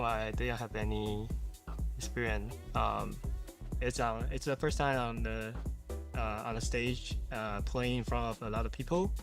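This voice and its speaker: A man from Taiwan, a new tournament player, giving a halting, nervous interview full of restarts. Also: mid-range voice. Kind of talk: pre-recorded player interview.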